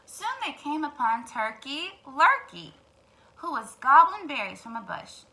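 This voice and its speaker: A woman's voice: high-pitched, measured pace. A woman teacher hosting storytime, reading aloud expressively to young children and adding asides to them. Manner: expressive, addresses young listeners directly. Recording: clear background noise.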